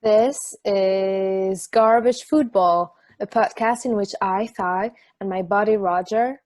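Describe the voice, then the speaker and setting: Woman doing a jaded podcast host, wry and chatty, mid pitch, dry recording. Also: slow, darkish tone, no echo, natural voice. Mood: mock contempt. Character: Thigh, jaded sardonic sports podcast host. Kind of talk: amateur table read as podcast chat.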